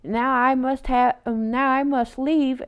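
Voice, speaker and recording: A woman's voice: high-pitched, steady tone, measured pace, clear, resonant tone. A woman voicing a kindly, jolly old male Santa bear from a children's storybook, in a cheery proclaiming manner. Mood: polite, cheerful.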